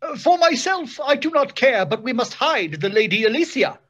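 A woman's voice: sits high, animated, normal speed, a bit bright, husky, heavily acted. A woman playing a lovestruck, foolish male stage hero with overblown theatrical delivery in an old-style radio play. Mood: melodramatic. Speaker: actor. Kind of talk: 1930s radio acting.

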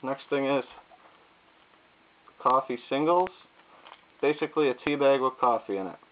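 A man casually talking through camping coffee methods at a table indoors, pausing often with "uh" and "um". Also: medium pitch. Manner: plain, unhurried, frequent "uh" and "um" pauses. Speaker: YouTube vlogger. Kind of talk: casual gear demonstration. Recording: tinny lo-fi audio with faint hiss.

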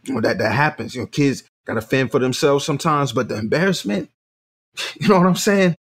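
An actor playing a fiercely protective, proud father in an audio drama, confrontational, with street vernacular and a husky voice. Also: mid-range voice, fairly quick, natural voice. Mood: grim, hurt. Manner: confrontational, urban vernacular, clipped insistent phrases. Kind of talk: naturalistic audio drama acting.

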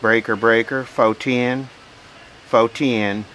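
A man giving casual, hands-on unboxing commentary, musing aloud in short phrases with pauses between them. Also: mid-range voice, rough recording. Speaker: vlogger unboxing vintage radio gear. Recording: steady background noise.

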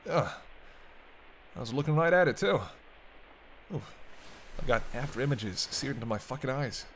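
A man's voice: medium pitch, expressive, moderate pace, fairly bright tone. A man playing a sarcastic, teasing captive vampire in casual, sweary banter for an intimate roleplay audio drama. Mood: startled. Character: teasing, sardonic male vampire held captive by a hunter. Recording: clear background ambience noise.

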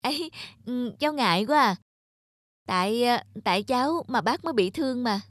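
A woman's voice: a little high, lively intonation, moderate pace, close to an everyday voice. A woman voicing a contrite, polite young male scooter rider in a cartoon, hesitant and deferential. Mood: earnest. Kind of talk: cartoon voice acting.

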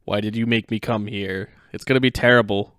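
A man's voice: on the low side, steady tone, a little fast, fairly bright tone, dry recording, studio quality, lightly acted. A man voicing a grumpy middle-aged cartoon dad, a weary complainer who moans and mutters in exasperation. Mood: miserable muttering.

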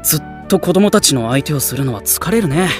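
A man's voice: fairly high pitch, lively intonation, a little fast, lightly acted. A man playing an easygoing, weary teenage boy in an anime audio drama, mild and casual but easily flustered. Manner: mild, casual, flustered when put on the spot. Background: music.

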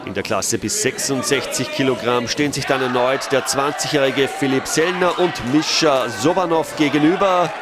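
A man's voice: medium pitch, normal speed, hardly any pauses, over loud music. A man giving matter-of-fact commentary as a voice-over, lifting his voice at the key moments. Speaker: sports commentator. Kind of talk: recorded match commentary voice-over. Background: cheering crowd in a sports hall.

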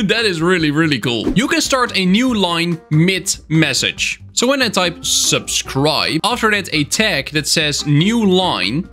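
A Dutch-native man hosting a Minecraft tutorial as a voice-over, explaining step by step with upbeat enthusiasm over faint background music. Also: a little high. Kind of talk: screen-recorded software tutorial. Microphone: voice-over over gameplay footage. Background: soft background music.

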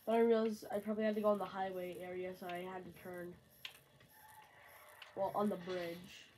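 A woman's voice: medium pitch, flat delivery, a little mellow, a little hiss or hum. A woman with a sore throat, casually commenting on her game from a bedroom and rambling to herself. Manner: slow, rambling, talks to self. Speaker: gamer.

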